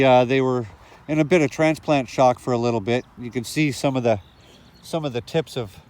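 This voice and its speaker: A man's voice: mid-range voice, background noise. Sniffly man touring his garden. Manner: rambling, with frequent fillers and restarts. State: sniffly from a cold. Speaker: gardening vlogger. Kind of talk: casual walk-through narration. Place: outdoor garden plot in the evening.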